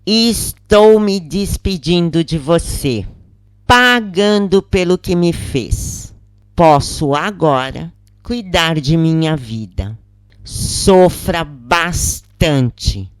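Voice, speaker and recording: A woman's voice: low voice, animated, drawn-out words, no echo, heavily acted. A woman voicing a spiteful, vengeful spirit that accuses a prisoner in a narrated story. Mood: spiteful farewell. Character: bitter, vengeful tormenting spirit voice inside a prisoner's head.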